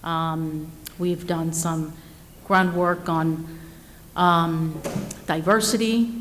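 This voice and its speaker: Woman with hesitant, halting speech. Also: fairly low pitch. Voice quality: hesitant, many ums. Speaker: school board candidate. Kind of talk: candidate speech. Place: school cafeteria. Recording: hall reverb, faint room noise.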